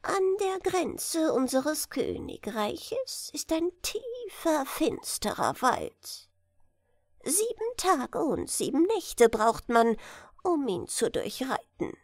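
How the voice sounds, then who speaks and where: A woman acts out a male royal chancellor from a storybook. He speaks in a thin, high falsetto, slowly and delicately, coaxing his listeners with care. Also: huge pitch swings, no echo, clean studio sound, exaggerated voice. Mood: sweetly persuasive. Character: high-voiced old royal chancellor, male, flattering and scheming.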